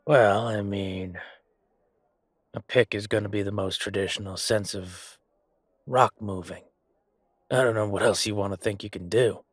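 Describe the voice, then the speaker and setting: Man playing a low-voiced, chatty fantasy merchant. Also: steady tone, a little slow, long pauses, husky, no room sound, studio quality. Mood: self-satisfied. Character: male merchant, chatty, self-satisfied, grumbling royal supplier. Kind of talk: fantasy audio roleplay acting.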